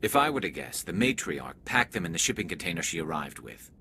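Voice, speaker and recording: An actor playing a grim security officer in a sci-fi video game. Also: male voice, low voice, sing-song, brisk, creaky, pushed and bright, slight background noise, full character voice. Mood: grim, speculative. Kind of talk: scripted video game acting.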